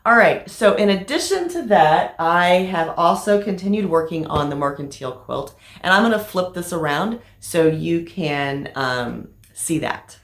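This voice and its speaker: Slightly raspy woman chatting about projects. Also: fairly low pitch, animated, a little slow, few pauses. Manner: chatty, frequent "um" fillers. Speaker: crafting vlogger. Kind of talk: casual show-and-tell vlog. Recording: echoey room.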